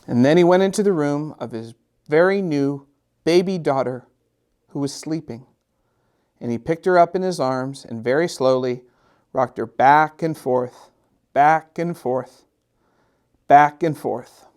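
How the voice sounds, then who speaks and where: A middle-aged man reads a picture book to children expressively, drawing out repeated phrases with gentle, dramatic emphasis. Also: on the high side, clear, resonant tone. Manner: gentle, drawn-out, sing-song repetitions with dramatic emphasis. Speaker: storytime host and children's author.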